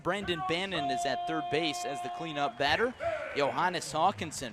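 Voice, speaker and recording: A man's voice: on the high side, fairly bright tone. A man with an American accent calling a baseball game live on radio from an outdoor ballpark, mixing lineup announcements with casual banter.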